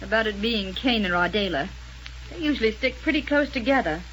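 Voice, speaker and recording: A woman playing a sensible, quick-thinking heroine in a 1930s radio space adventure. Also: mid-range voice, animated, fairly bright tone, natural voice. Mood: reasoning. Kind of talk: 1930s radio serial acting. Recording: noisy crackling 1930s radio recording.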